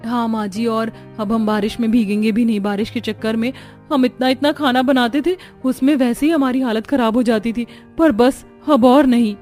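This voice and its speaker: Lady playing a cartoon young bahu, high whiny voice, argues and makes excuses, music behind. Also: steady tone, normal speed, a little mellow, lightly acted. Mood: apologetic. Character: defiant young daughter-in-law, breezy and excuse-making.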